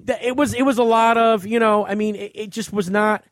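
A man with an American accent and a fairly high voice hosts a casual podcast. He talks in a conversational, profane way, reviewing as he chats. The sound is dry, with very little room around it. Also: steady tone, brisk, dry recording. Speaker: podcast host. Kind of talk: casual movie review chat. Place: small podcast studio.

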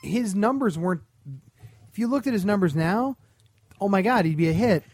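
A man with a moderately high voice speaks in a very dry recording with no room sound. He talks in the casual, conversational way of a podcast co-host. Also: expressive, normal speed, darkish tone, no echo. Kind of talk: casual chat about television.

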